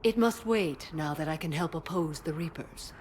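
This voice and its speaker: A woman voicing a low, solemn alien justicar. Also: a little hiss or hum, close to an everyday voice. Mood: formal. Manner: solemn, measured, formal. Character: stern ageless alien warrior-nun mother. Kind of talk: video game cutscene acting. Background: orchestral score.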